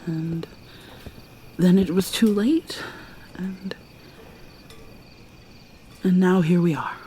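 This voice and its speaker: A woman playing an ancient vampire mermaid in an ASMR roleplay, whispering softly and haltingly with a wistful manner. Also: on the low side, steady tone, a little hiss or hum. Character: ancient female vampire mermaid, guarded and sorrowful.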